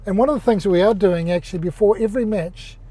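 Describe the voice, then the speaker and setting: A man with a New Zealand accent speaks in a mellow, fairly high voice. He talks with an older executive's easy, halting rhythm, full of fillers and restarts. He comes across as a relaxed guest in a casual interview. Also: breathy edge.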